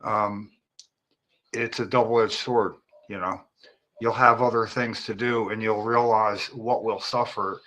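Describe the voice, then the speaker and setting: A man's voice: fairly low pitch, fairly even intonation, takes it slowly, pushed and bright, rough recording. A man hosting a casual talk, giving folksy advice to a guest. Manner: advising, folksy. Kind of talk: casual chat.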